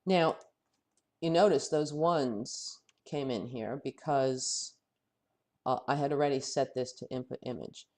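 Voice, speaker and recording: Man, head cold, voice wearing thin, software tutorial, thinking aloud, halting, mid-pitched. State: head cold, losing his voice. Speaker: software instructor. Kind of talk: screen-recorded software tutorial.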